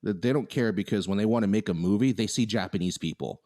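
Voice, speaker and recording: Deep-voiced man arguing animatedly. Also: quick pace. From United States. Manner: animated, opinionated, many restarts. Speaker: podcast co-host. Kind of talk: casual podcast chat.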